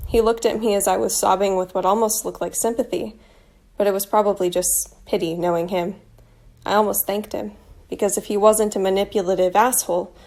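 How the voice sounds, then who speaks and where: Young woman playing a wry, tender, creaky-voiced teenage girl. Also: mid-range voice, darkish tone, lightly acted. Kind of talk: stage monologue as spoken letters.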